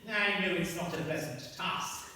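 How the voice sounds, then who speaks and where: A man's voice: high voice, measured pace, sharp tone, distant mic, a little hiss or hum, full character voice. A man playing a bossy, scheming king. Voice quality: theatrical, bossy. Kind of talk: amateur comic radio play. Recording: echoey hall, recorded from afar.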